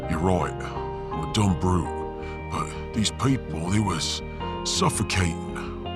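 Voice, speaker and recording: A man voicing a rough-hewn, remorseful handyman, halting and plain-spoken, in a sci-fi audiobook. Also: deep voice. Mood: remorseful, earnest. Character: big, remorseful, rough-hewn Australian refugee handyman, former miner. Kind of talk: sci-fi audiobook character acting. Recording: music behind the voice.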